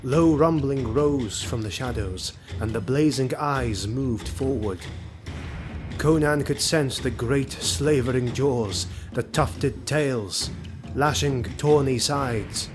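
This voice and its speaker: A man narrating a fantasy audio drama in a measured, suspenseful scripted style. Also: medium pitch. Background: music.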